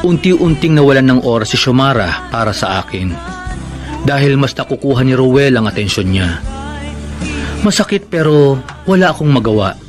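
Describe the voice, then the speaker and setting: A man's voice: mid-range voice, very animated, moderate pace, long pauses, natural voice. Man acting as wounded jilted lover over music. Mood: hurt, resigned. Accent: Filipino. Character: wounded, jilted male lover telling his story. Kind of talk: dramatized letter narration. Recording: prominent music behind voice.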